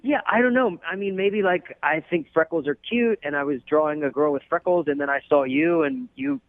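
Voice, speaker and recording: A man calling in to a radio show by phone, casual and apologetic, rambling in a halting way. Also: fairly high pitch, dry recording. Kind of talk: casual pleading conversation. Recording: thin, narrow-band telephone line.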